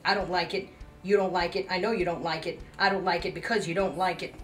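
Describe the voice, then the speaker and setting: A woman's voice: on the low side, steady tone, fast, faint music behind, close to an everyday voice. A woman playing a mean, petty store manager, scolding and threatening in a comedy sketch. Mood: scolding, menacing. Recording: echoey room, distant mic.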